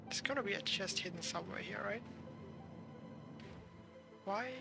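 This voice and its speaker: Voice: mid-range voice, moderate pace, vocal fry. A game streamer giving casual commentary, muttering questions and short reactions to themselves while playing. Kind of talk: casual gameplay commentary, thinking aloud. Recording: game music behind the voice. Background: video game music.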